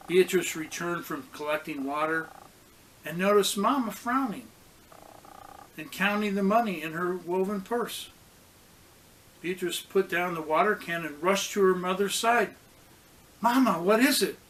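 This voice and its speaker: Higher-pitched man reading a children's story. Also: unhurried, pauses often, a little hiss or hum, rough recording. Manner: expressive, acts out characters' lines. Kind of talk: storybook read-aloud for children.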